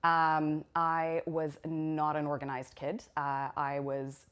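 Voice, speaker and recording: A woman who works as a nurse, telling her story in an interview, chatty and candid with quick bursts. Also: sits low, completely flat delivery, a bit bright, smooth. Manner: talkative, candid, quick bursts when explaining. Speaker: registered nurse. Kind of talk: interview testimony.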